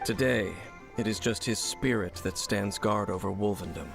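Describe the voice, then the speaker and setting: An actor playing a stern, knowing, world-weary swordsman in a game, measured and serious with a gravelly voice. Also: fairly low pitch. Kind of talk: anime-style game voice acting. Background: game music.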